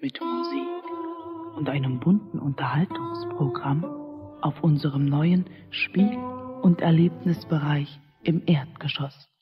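Slow, low-voiced store announcer. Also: female voice, lively intonation. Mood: formal, promotional. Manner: formal, promotional. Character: polished female shopping centre announcer. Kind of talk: public announcement in radio drama. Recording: dull lo-fi loudspeaker sound with music. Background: music.

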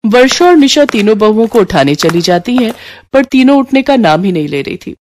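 A woman's voice: a little high, big pitch swings, moderate pace, darkish tone, slight background noise. A woman narrator telling a scripted story as storytelling narration.

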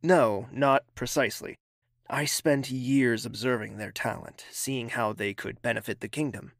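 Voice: medium pitch, a little slow, gravelly, dry recording, studio quality. An actor playing a haughty, impatient young royal prince in an audio drama, clipped and condescending. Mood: relaxed, familiar.

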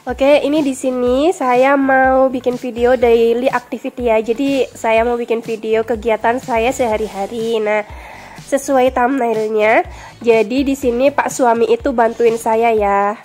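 Young woman, nasal from a cold, bright chatty vlog voice-over, light music under it. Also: a little high, fairly even intonation, a little slow. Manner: chatty, friendly, addresses viewers directly. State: stuffy nose from a cold. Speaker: vlogger. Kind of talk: casual voice-over narration.

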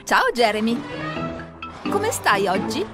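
A woman's voice: fairly high pitch, big pitch swings, slow pace, music in the background. A woman narrating a children's cartoon, expressively asking questions and talking straight to the characters.

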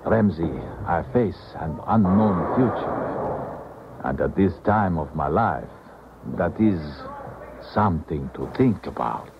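An actor playing an apprehensive older defector in a 1970s radio drama, speaking formally, haltingly and deliberately. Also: male voice, deep voice, lively intonation, heavily acted. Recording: muffled, noisy 1970s radio recording.

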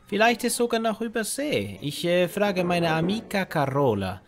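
A man playing a scheming, sentimental Italian inmate in an audio drama parody, with an Italian accent, halting broken grammar and sprinkled Italian words. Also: high voice, big pitch swings. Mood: conspiratorial.

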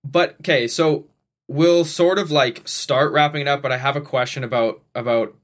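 A man in his twenties hosting a podcast from his bedroom, talking quickly with many restarts. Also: mid-range voice, fairly even intonation, fairly bright tone. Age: 20s. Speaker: podcast host. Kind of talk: casual chat. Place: bedroom at home. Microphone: studio mic on boom arm, headphones.

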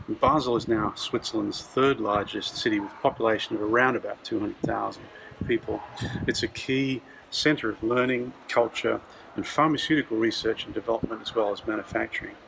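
A man's voice: on the low side, fairly even intonation, brisk, vocal fry, a bit bright, slight background noise, rough recording. A middle-aged Australian man hosting a travel vlog, casually giving practical tips.

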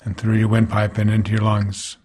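A man guiding a body scan meditation as a teacher, speaking calmly in gentle, unhurried phrases with pauses between them. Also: on the low side, husky.

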